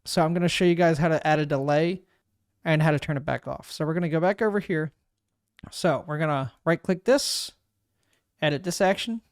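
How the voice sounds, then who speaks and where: A man casually walking through a streaming software setup, thinking aloud and narrating each click as he goes. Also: a little high, brisk, smooth, no room sound, studio quality. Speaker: streamer giving a software tutorial. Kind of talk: casual screen-recorded walkthrough. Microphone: desk microphone.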